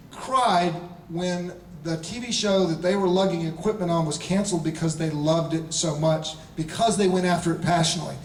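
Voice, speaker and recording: Male convention panelist, 40s, animated raconteur, high-ish voice, distant and roomy. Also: slight background noise. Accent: Charlotte, North Carolina. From North Carolina, US. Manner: animated storyteller, laughing, playful asides. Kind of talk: live convention Q&A. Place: large convention hall. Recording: echoey hall from far off.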